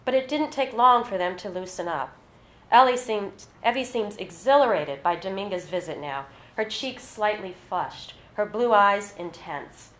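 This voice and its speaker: A woman's voice: mid-range voice, moderate pace, a bit bright. Echoey live reading by a woman author. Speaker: author reading her own short story. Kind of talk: literary reading of scripted fiction to an audience. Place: indoor hall at a literary reading. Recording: roomy, echoey live event recording.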